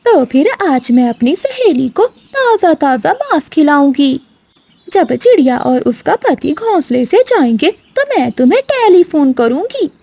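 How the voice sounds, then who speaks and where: A woman's voice: high voice, big pitch swings, unhurried, warm tone, no echo, heavily acted. A woman voicing a spiteful, scheming female crow neighbour in a cartoon, scolding and conniving.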